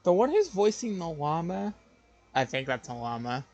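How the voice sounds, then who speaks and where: A man's voice: on the high side, very animated, moderate pace, a little mellow. A man streaming a game, muttering offhand commentary that often trails off. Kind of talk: casual live-stream commentary.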